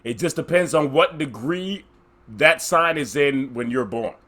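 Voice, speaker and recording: A man's voice: on the high side, measured pace, a bit bright. A man in his forties hosting a podcast, joking around in a laid-back, profane way. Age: 40s. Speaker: podcast host. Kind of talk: casual podcast chat.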